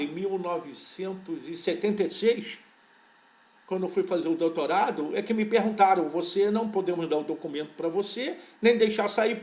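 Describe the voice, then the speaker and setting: An older man with a fairly high-pitched voice recalls his past as an interview guest. He speaks in a relaxed, wandering way and often stops to restart his sentences. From born in Portugal, raised in Brazil. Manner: unhurried, rambling recollection with frequent restarts. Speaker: veterinary parasitology professor, interview guest. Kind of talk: relaxed conversational storytelling.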